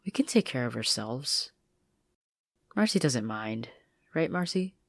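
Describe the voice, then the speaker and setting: A woman's voice: rumbling low, big pitch swings, a little slow, no echo, studio quality, full character voice. A woman voicing a cocky, protective and affectionate teenage girl in a story reading, with a teasing, confident manner. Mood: smug, teasing.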